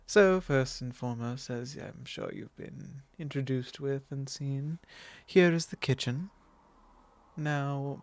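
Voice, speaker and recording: A man's voice: medium pitch, creaky, dry recording. A man playing a possessive, courtly vampire lord in an ASMR roleplay, speaking formally and condescendingly in an intimate monologue. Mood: smug, possessive. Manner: formal, unhurried, condescending, with soft chuckles.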